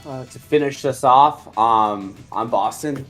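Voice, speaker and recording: A man's voice: mid-range voice, slow, a bit bright, soft background music. A twenty-two-year-old man talking casually and confidently about his alliance in the game.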